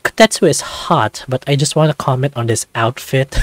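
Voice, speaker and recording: A man's voice: medium pitch, moderate pace, no echo, lightly acted. A man voicing a playful, laid-back young male dragon, the roommate in a visual novel, talking casually and cheekily. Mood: amused.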